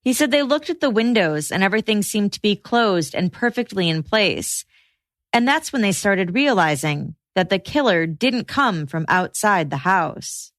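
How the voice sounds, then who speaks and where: A woman reading scripted true-crime podcast narration in a measured, matter-of-fact way. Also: mid-range voice, lively intonation, a bit bright, no echo, studio quality.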